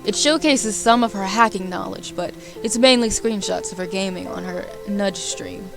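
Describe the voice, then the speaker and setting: A woman's voice: medium pitch, moderate pace, gravelly. A woman livestreaming a game, chatting informally and playfully and reading a visual novel aloud. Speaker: game streamer. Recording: music playing behind the voice. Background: background music.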